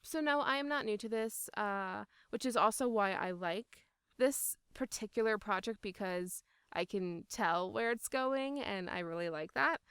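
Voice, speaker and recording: A woman's voice: mid-range voice, a little slow, a bit bright, smooth, no room sound, studio quality. A woman speaking as an interviewed voice actor, in casual, enthusiastic and emphatic conversation.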